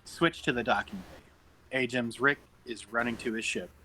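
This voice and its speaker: Bright-voiced man narrating stage directions. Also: mid-range voice, moderate pace, breathy edge, poor audio. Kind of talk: reading screenplay stage directions at table read.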